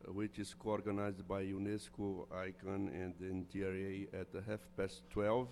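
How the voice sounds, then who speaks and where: A man's voice: low-pitched, flat delivery, normal speed, vocal fry, darkish tone. A man making program announcements at a conference, hesitant with fillers. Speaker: conference organizer.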